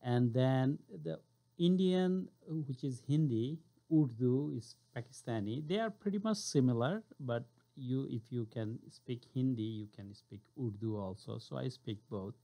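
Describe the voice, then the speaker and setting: A man's voice: mid-range voice, measured pace, dark tone. A man in his forties from Bangladesh, a native Bengali speaker, chatting as a radio guest in short broken phrases and laughing often. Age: 40s. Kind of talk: casual radio chat.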